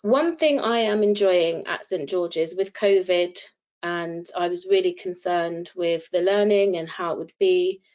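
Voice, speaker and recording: A woman's voice: lowish voice, unhurried, darkish tone. Earnest woman on a muffled video call. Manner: chatty, earnest, many ums. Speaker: first-year radiography student. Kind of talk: webinar talk to applicants. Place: home room, indoors. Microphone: laptop webcam video call.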